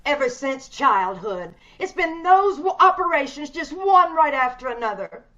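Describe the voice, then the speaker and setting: Woman acting a bitter reclusive lady in an old radio play, really high voice, bossy and tormented, muffled lo-fi audio. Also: sing-song, moderate pace, a bit bright. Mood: bitter, defiant. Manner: imperious, bitter, theatrical. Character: bitter, disfigured, reclusive woman, imperious and despairing. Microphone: video call. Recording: dull video-call audio.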